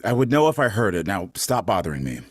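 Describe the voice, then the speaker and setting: A gruff old grandfather played by a man in a staged drama reading. The voice sits very low and husky, with lively swings in pitch. He is curt, impatient and dismissive. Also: fast talker.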